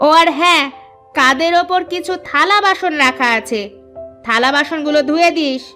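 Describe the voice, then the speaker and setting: A woman voicing a harsh, bossy, scolding stepmother in a cartoon, with a sharp, nagging, sarcastic manner. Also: high-pitched, moderate pace. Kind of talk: cartoon voice acting. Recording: music behind the voice.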